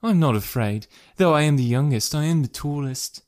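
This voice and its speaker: A man's voice: a little high, sing-song, fast, a little mellow, pitched above the performer's own voice. A man voicing a spirited young daughter in an audiobook, pert and a little fretful, with a girlish tone. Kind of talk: audiobook character voice.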